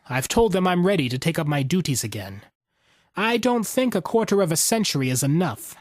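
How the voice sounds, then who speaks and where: A man voicing a sardonic, battle-weary veteran with a deliberate, commanding manner in an audiobook. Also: a little high, dry recording, studio quality, natural voice.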